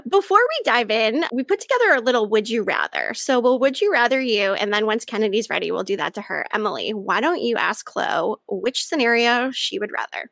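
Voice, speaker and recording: A woman's voice: a little high, sing-song, a little fast, vocal fry, few pauses, a bit bright, no echo, studio quality. A woman hosting a live-streamed fan podcast and guiding the chat in an upbeat way. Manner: upbeat, moderating. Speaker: podcast host.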